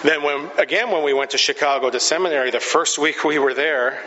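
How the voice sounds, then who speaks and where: A man's voice: a little high, fairly quick, background noise. Guy pastor telling funny stories on himself, long church echo, kinda dull muffled sound. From Pacific Northwest. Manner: self-deprecating, chatty, laughs at his own stories. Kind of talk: storytelling sermon.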